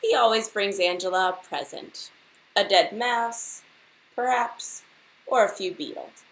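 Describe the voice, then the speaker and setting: Slow-paced woman reading a children's storybook. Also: mid-range voice, rough recording. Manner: deliberate, expressive, addresses children directly. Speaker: teacher reading aloud to a class. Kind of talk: expressive children's storybook read-aloud with questions to listeners.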